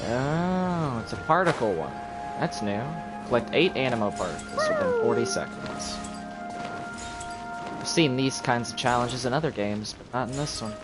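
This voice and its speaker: A man's voice: medium pitch, wildly swooping pitch, moderate pace. A man giving casual live commentary on a video game, thinking aloud as he plays. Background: video game music.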